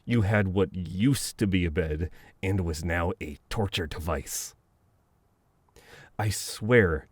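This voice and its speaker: A flustered but protective male best friend, acted by a man in an intimate ASMR roleplay. His low voice swings expressively as he teases and stumbles through his banter. The sound is close and dry. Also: a little mellow, no echo. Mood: flustered, exasperated.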